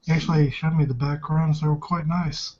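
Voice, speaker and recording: A roleplay player improvising casual in-character talk as a polite bar regular, speaking haltingly. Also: mid-range voice, steady tone, dark tone. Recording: muffled, noisy lo-fi recording.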